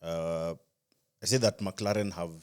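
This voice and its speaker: A man with a deep voice co-hosts a casual sports podcast. He talks informally, often repeating a phrase as he works toward his point. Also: fairly even intonation, unhurried, darkish tone, smooth. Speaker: podcast co-host.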